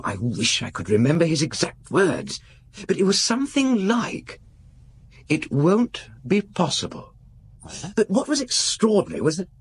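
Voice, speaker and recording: A man's voice: on the high side, husky, exaggerated voice. A man playing an earnest, nervous young aristocrat in a radio drama, speaking politely and confidingly with hesitant restarts. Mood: earnest, troubled. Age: young adult.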